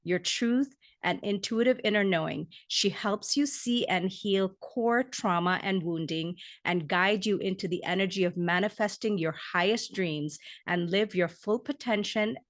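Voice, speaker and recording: A woman's voice: medium pitch, a little slow, few pauses. A woman hosting a podcast, introducing and interviewing her guest with gushing, effusive praise. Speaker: podcast host.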